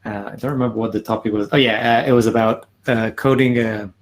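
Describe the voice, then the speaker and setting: A man introducing himself on a video call. He speaks haltingly, with many "uh" and "um" fillers. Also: medium pitch, fairly even intonation. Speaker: conference organizer and video creator. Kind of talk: casual self-introduction. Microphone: computer mic on a video call.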